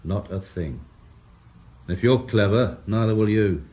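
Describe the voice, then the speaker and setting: An actor playing a blunt, cynical middle-aged police inspector in a radio drama. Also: male voice, lowish voice, measured pace, warm tone, dull audio, a little hiss or hum, lightly acted. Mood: hard. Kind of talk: 1980s radio drama acting.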